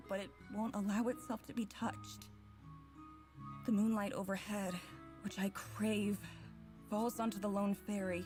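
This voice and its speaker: A woman's voice: medium pitch, darkish tone, close to an everyday voice. An actress playing an anguished young woman who narrates a fairy vision in a dramatic visual novel.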